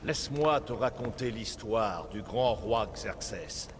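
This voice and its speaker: A man acting a guarded, weary older Persian father and resistance fighter in a French-dubbed video game, speaking in a grave, measured way. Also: on the low side, expressive, background noise. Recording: game music behind.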